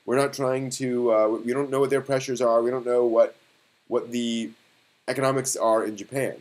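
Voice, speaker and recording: Man thinking aloud, halting delivery. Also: medium pitch, flat delivery, darkish tone. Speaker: live stream host. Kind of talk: casual live stream discussion.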